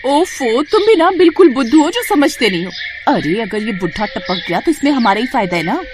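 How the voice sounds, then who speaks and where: A woman voicing a spiteful, scheming stallholder's wife in an animated story, jealous and scornful. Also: on the high side, fairly quick, background noise. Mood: scolding, scheming. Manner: jealous, scornful, sharp-tongued. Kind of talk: animated moral story acting.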